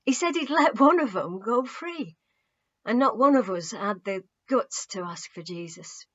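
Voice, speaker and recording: A woman's voice: a little high, lively intonation, unhurried, a bit bright, pitched above the performer's own voice. A woman voicing an earnest, shamefaced young man giving bad news plainly and with regret, on a muffled recording. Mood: regretful.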